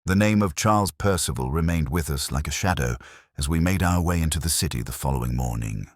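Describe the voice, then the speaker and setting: Very deep male storyteller. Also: lively intonation, no room sound, studio quality. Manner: measured, literary storytelling. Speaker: narrator of a detective story. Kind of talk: scripted audiobook narration.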